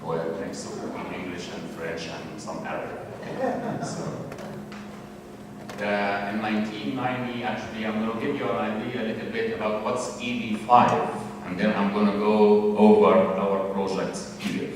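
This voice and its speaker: A man with an Egyptian accent gives a public presentation, pausing on fillers and restarting his sentences. His voice sits a little low and sounds far from the mic. A long hall reverb and clear background noise surround him. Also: steady tone. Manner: halting, many fillers and restarts.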